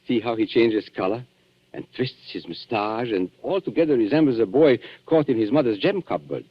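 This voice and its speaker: An actor playing a smooth, mocking blackmailer with theatrical politeness in a 1940s radio thriller. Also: male voice, medium pitch, moderate pace, lightly acted. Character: suave, sneering male blackmailer posing as antique dealer. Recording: muffled 1940s radio recording.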